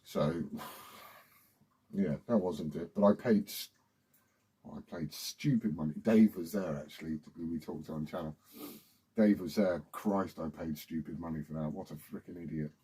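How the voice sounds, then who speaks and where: Man, British accent, husky, slightly low pitch, dark tone, casual rambling chat, sweary. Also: normal speed, poor audio. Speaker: CB radio hobbyist video host.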